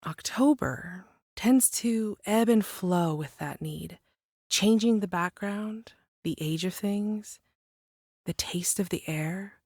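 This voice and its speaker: An actress playing an enigmatic, gently teasing woman from a world of monsters, telling a hushed bedtime tale in a measured, lyrical way for an audio drama. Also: mid-range voice, no room sound. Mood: musing, mysterious.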